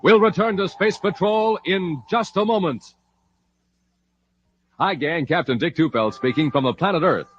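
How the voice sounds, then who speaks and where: A male announcer narrating and pitching in a 1950s radio style. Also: normal speed. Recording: muffled, slightly noisy old radio recording.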